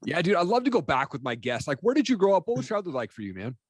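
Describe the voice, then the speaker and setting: A man's voice: on the high side, big pitch swings, fast talker, breathy edge, no echo. A man hosting a podcast interview, upbeat and casual, peppering questions with "man" and "dude". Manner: enthusiastic, says man and dude a lot. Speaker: podcast host.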